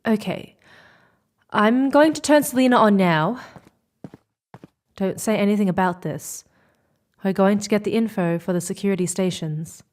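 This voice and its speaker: A woman's voice: medium pitch, moderate pace, long pauses, darkish tone, close to an everyday voice. A woman acting a determined, stubborn space explorer in a sci-fi audio drama, pleading and insistent. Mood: scheming.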